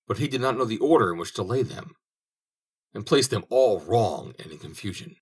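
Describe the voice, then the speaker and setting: A man narrating a fairy tale in a measured audiobook reading. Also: on the low side, animated, a little mellow, breathy edge. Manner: measured storytelling.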